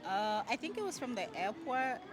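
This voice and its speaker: A woman's voice: fairly high pitch, very animated, normal speed, over music. A woman from the United States, a tourist speaking animatedly.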